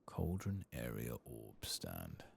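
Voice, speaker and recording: A soft-spoken man giving hushed, unhurried ASMR commentary as he plays a game, murmuring and musing to himself, at times nearly whispering. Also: extremely low pitch, sing-song, vocal fry, breathy edge. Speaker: gaming video creator.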